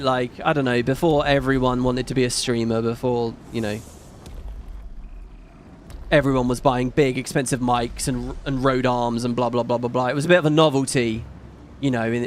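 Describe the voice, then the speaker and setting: A middle-aged man with a British accent, chatting casually on his live stream; his voice is fairly high and not macho, and he rambles and swears casually. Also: a bit bright, a little hiss or hum.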